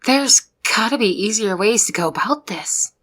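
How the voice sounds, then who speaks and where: A woman's voice: medium pitch, animated, moderate pace, a bit bright. A woman playing a young trainee secret agent in an audio roleplay, the good cop who reasons and coaxes. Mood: protesting, reasoning. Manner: persuasive, reasoning, exasperated with partner. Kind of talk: ASMR roleplay audio drama.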